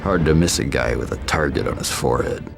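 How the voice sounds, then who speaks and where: A hard-bitten antihero for a game cutscene. His voice is extremely low and full of gravel, delivering terse, menacing sarcasm in deadpan over faint music. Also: quick pace. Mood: dry sarcasm. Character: grim vigilante antihero, sardonic hard-bitten adult man. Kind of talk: video game cutscene acting.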